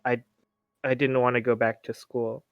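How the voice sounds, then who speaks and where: A man playing a timid, polite blind orphaned teenage boy, a haunted survivor who talks in halting stammers, in a tabletop roleplaying game. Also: medium pitch, level tone, no echo, dull audio, voice pushed down, close to an everyday voice. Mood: pained, restrained.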